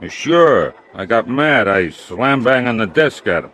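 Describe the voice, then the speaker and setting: An actor playing a sullen, simple-minded but smug killer, speaking in blunt, halting broken English in an old radio crime drama. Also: male voice, medium pitch, expressive, fairly bright tone, slight background noise. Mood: smug, resentful.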